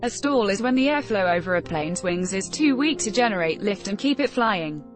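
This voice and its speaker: A woman's voice: medium pitch, lively intonation, normal speed. Woman reading news over music. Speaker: news article narrator. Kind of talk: scripted news narration, read aloud. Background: background music.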